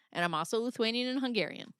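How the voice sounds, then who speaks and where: A woman's voice: fairly high pitch, a bit bright, clean tone, no echo, clean studio sound. A young woman with a Midwestern accent hosting a casual livestream, reading her chat aloud, laughing often and swearing freely. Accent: Midwestern American. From American Midwest.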